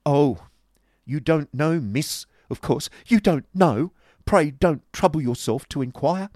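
Man putting on a high, huffy young woman. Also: slow, a little mellow, no echo, clean studio sound. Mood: indignant, scornful. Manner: scornful, huffy, indignant. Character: spiteful, haughty young woman, easily wounded. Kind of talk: dramatised novel reading.

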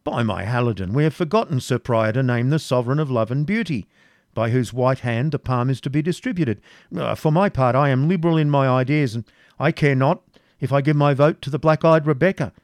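A man's voice: medium pitch, a little fast, no echo, clean studio sound, voice pushed up, close to an everyday voice. A man voicing a haughty, petulant prince in a storybook reading, with a pompous, emphatic delivery. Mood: flippant, insistent.

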